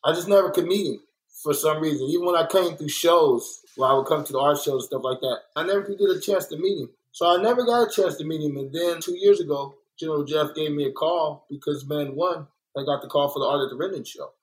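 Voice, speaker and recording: A man's voice: a little high, quick pace, low-quality recording. A young adult man from Los Angeles, speaking earnestly and reflectively in an interview, repeating points for emphasis. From born in Kansas City, raised in South Central Los Angeles. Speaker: muralist and guest. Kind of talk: candid conversational interview.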